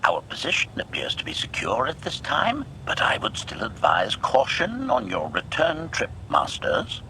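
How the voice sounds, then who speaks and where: Video game assassin droid: metallic processed voice, creaky, very animated pitch, formal and servile with a lethal streak. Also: male voice, moderate pace, a little hiss or hum, heavily acted. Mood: formal, obliging. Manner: formal, announces each statement with one word.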